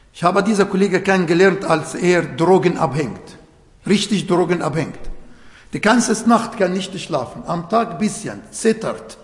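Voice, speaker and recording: A man whose first language is Arabic, preaching a sermon in German in an earnest, emphatic way with grammatical slips. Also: high-pitched. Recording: echoey hall with long reverb.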